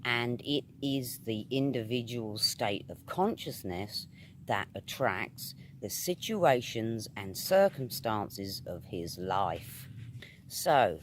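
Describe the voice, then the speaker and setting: A man with a British accent reading a spiritual essay aloud in a measured, emphatic, oratorical manner. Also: on the low side, lively intonation, a little hiss or hum. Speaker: reader of metaphysical essays.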